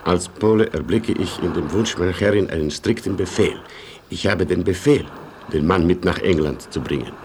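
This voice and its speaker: Guy acting a proud polish colonel in a radio play, low voice, very ceremonious and melodramatic. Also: normal speed, a little mellow, a little hiss or hum, natural voice. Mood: solemn devotion. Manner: formal, ceremonious, grandiloquent.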